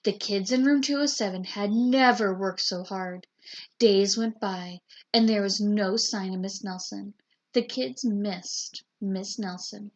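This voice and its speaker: A woman teacher reading a picture book aloud in a slow, deliberate storytelling manner. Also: mid-range voice.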